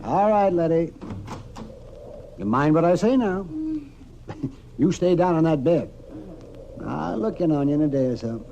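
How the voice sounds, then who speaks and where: An actor playing a crusty, grumbling frontier town doctor in a folksy, fussy 1950s radio western style. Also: male voice, on the high side, expressive, measured pace, gravelly, long pauses, dull audio, music in the background. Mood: kindly, folksy. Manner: folksy, fussy, exasperated muttering and chuckling. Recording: noisy old radio drama recording.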